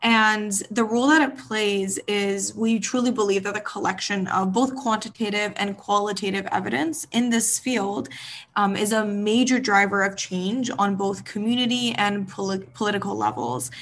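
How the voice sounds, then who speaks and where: A woman's voice: medium pitch, normal speed, a bit bright, slight background noise. A woman being interviewed over a video call, speaking earnestly with frequent "um"s. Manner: earnest, filler-heavy. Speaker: associate director of a nonprofit. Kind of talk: interview guest.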